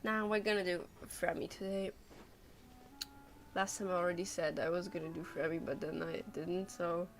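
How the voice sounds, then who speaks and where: A woman's voice: fairly low pitch, steady tone, moderate pace. A young adult woman chatting casually on a game livestream, hesitant and rambling as she thinks aloud. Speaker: game streamer.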